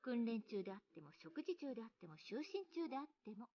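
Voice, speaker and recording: A woman's voice: fairly high pitch, big pitch swings, racing, dark tone, muffled recording. An actress playing a blunt, demanding woman researcher in a visual novel, with a brusque, self-assured, commanding delivery. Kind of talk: Japanese visual novel voice acting.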